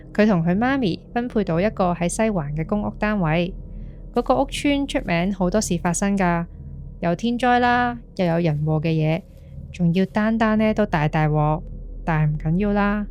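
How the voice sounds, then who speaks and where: A woman's voice: lowish voice, darkish tone, a little hiss or hum, natural voice. An actress with a Hong Kong Cantonese accent playing an earnest young woman recounting a friend's eerie experience in a ghost-story podcast. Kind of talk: scripted ghost-story monologue.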